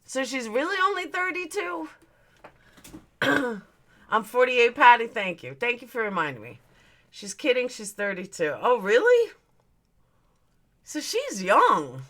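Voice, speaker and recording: A woman's voice: a little high, big pitch swings, a little slow, pauses often, fairly bright tone. A woman in her forties hosting a livestream, chatting casually as she reads out and answers viewer comments, blunt and swearing now and then. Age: 40s. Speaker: livestream host.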